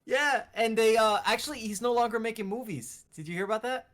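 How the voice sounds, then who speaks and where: A man co-hosting a casual chat, excitable and given to repeating his phrases for emphasis. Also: high-pitched, a little fast. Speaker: podcast co-host. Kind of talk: casual chat about video game movies.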